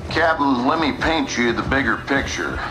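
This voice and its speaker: An actor playing a slick, smug, disgraced army general making his pitch in a video game cinematic. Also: expressive, moderate pace, lightly acted. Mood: persuasive. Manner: smug, folksy salesmanship. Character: slick, scheming disgraced general, smugly self-assured. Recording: roomy echo, music behind.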